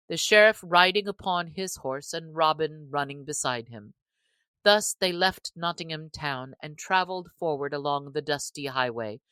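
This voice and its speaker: Woman reading an old adventure book, low voice, slow and steady, dry sound. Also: smooth, no echo. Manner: measured, even storytelling. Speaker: audiobook reader. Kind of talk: classic novel narration.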